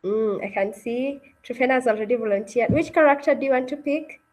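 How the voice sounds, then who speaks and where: A woman's voice: on the high side, brisk, a little mellow, poor audio. A woman hosting an interactive online read-aloud session and narrating the story, encouraging and often checking in with her listeners. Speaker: webinar host and story narrator. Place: online video call.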